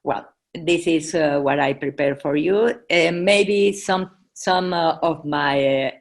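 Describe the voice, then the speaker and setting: Woman, Mexican accent, low voice, unhurried, halting delivery, searching for words. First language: Spanish. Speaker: Red Cross presenter. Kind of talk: informal presentation.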